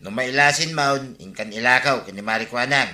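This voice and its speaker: A man's voice: medium pitch, fairly quick, pushed and bright. A man playing a plain-spoken rural villager in an Ilocano radio comedy drama. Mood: matter-of-fact. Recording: echoing, noisy radio drama recording.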